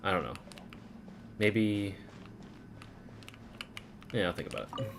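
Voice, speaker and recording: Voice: low-pitched, expressive, slow pace, gravelly, slight background noise. A game streamer giving casual, rambling live commentary on a playthrough.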